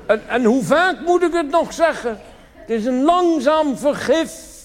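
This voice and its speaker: Guy doing a nagging fed-up wife, high voice, scolding in a big echoey hall. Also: animated, a little slow. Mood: indignant scolding. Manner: indignant, nagging. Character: scolding, exasperated wife of a drinking old man. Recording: reverberant hall with noise.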